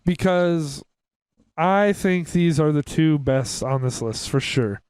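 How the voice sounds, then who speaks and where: A man's voice: a little high, lively intonation, slow, a little mellow, clean tone, no echo. A man chatting casually as a podcast co-host, steering the discussion decisively. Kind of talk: casual chat.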